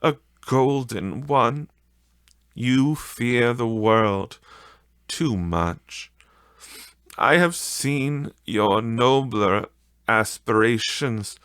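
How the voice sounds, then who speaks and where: A man voicing a gentle, sorrowful young Victorian woman in an audiobook, speaking in a deliberate, drawn-out way. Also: mid-range voice, clear, resonant tone, no room sound, studio quality. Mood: reproachful. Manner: soft, deliberate, drawn-out phrasing. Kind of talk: audiobook character voice.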